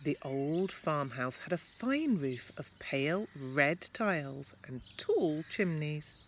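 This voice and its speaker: Expressive woman reading to children. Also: sits low, slow pace. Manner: chatty asides and questions to young listeners. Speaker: primary school staff member reading to children. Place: at home, apparently outdoors in garden. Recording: clear background noise, amateur home recording.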